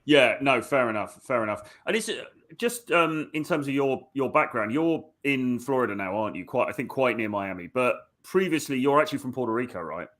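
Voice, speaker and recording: A man's voice: medium pitch, lively intonation, a bit bright. Man hosting a dating interview. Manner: measured questions with many "yeah"s. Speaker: host, dating coach. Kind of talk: podcast interview.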